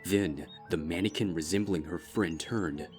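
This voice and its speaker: A man narrating a dark fan fiction story in a steady, measured way. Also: low voice, soft background music. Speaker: fanfic reader. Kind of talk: scripted narration.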